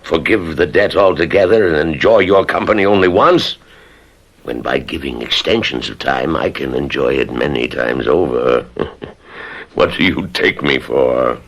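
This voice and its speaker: Very deep, creaky, lecherous moneylender. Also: male voice, moderate pace, a bit bright, exaggerated voice. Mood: gloating, coercive. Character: sly, lecherous male moneylender, suave and calculating. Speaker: actor. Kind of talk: radio drama acting.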